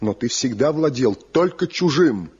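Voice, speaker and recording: Husky, embittered, defiant craftsman. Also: medium pitch, lively intonation, unhurried, a little mellow. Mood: bitter, cold. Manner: challenging, theatrical delivery. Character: Zhivko, defiant embittered returned craftsman, taunting rival. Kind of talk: Soviet radio play acting. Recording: dull, muffled 1970 radio play recording.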